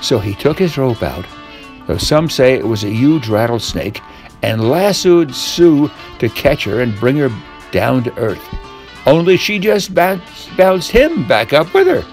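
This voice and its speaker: An older man narrates a scripted tall tale for bedtime. His pitch rises and falls with lots of animation, and he stretches key words for effect. Soft guitar music plays behind him. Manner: expressive, deliberate storytelling with drawn-out key words. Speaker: storyteller.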